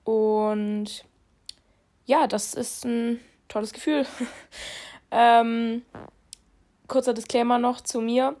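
A woman's voice: on the high side, steady tone, takes it slowly, pauses often, darkish tone. A young woman with a cold, co-hosting a podcast and chatting casually into her phone, alone in her room, laughing at herself. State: has a cold. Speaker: podcast co-host. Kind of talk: casual chat.

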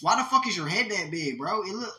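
A man co-hosting a casual podcast, talking in loose, slangy, profane jokes while high. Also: expressive, brisk, bright, forceful tone, reverberant. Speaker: podcast co-host.

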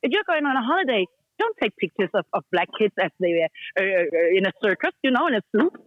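A woman's voice: medium pitch, big pitch swings, fast. A woman speaking English in non-native grammar as a podcast guest, blunt and emphatic, driving her points home with repeated commands. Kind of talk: casual chat. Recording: dry, dull lo-fi call line.

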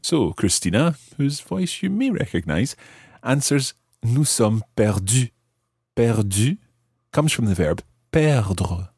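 Animated, husky-voiced male language teacher. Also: medium pitch, dry recording, studio quality. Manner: explanatory, repeats phrases slowly and deliberately. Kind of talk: scripted language lesson narration.

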